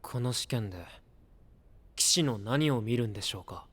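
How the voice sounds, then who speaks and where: An actor playing an aloof, quietly earnest young would-be knight, terse and reserved, in an anime voice drama. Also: male voice, mid-range voice, normal speed, dry recording, natural voice. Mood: calm, serious. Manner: terse, soft-spoken, reserved.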